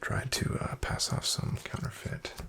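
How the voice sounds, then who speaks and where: A man playing a shady, guarded back-alley card dealer in a soft-spoken ASMR roleplay, half-whispering his clipped, unhurried patter with a gravelly creak. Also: lightly acted. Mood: guarded, confiding.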